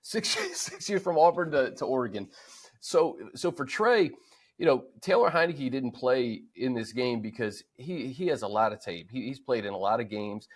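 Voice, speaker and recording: An American man with a medium-pitched voice hosts a sports talk show. His delivery is casual and conversational as he recaps numbers and offers analysis.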